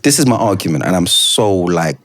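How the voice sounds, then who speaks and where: Deep-voiced man hosting, enthusiastic. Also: sing-song, a little fast, fairly bright tone, dry recording. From Britain. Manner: enthusiastic, rambling, lots of fillers. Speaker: podcast host. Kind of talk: casual interview chat.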